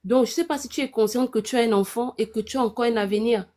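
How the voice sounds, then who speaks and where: A woman with a fairly high voice and a Gabonese French accent speaks on a call-in panel. She exhorts like an elder sister, earnest and insistent, pleading her advice. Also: fast, mellow. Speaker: panel participant giving advice.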